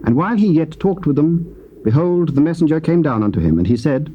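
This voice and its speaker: A man narrating scripture in a measured, stately reading. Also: on the high side, expressive, highs cut off. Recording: faint tape hiss.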